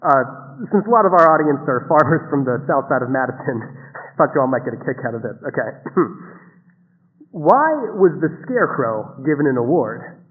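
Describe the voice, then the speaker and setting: A man's voice: medium pitch, sing-song, normal speed. An actor playing a chirpy small-town golden-boy radio host, chatty and hammy, with DJ patter through a radio filter. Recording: tinny reverberant car radio sound.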